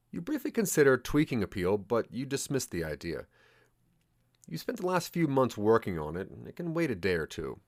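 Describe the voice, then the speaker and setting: A man narrating an interactive audio drama in the second person, with expressive storytelling. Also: medium pitch, dry recording, clean studio sound.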